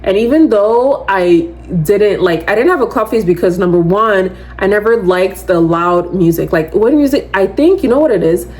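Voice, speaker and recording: A woman in her twenties with a loud voice, hosting a podcast in casual, rambling solo chat, full of "like" and animated asides. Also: medium pitch, normal speed, few pauses, rough recording. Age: 20s. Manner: chatty, rambling, heavy use of "like"; animated, slips into quoting others. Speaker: podcast host.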